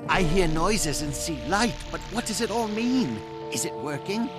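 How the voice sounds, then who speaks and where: A man's voice: sits high, expressive, a little slow, over music. A man playing an anxious, devoted young gnome-like engineer in a video game, earnest and pleading. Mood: worried, pleading. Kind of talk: video game cutscene acting.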